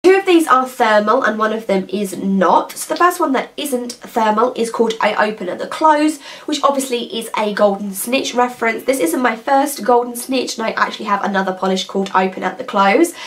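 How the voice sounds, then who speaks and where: A woman's voice: a little high, lively intonation, fairly quick, few pauses. A woman, chatting casually and enthusiastically to camera with lots of hesitations and asides. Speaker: nail polish vlogger.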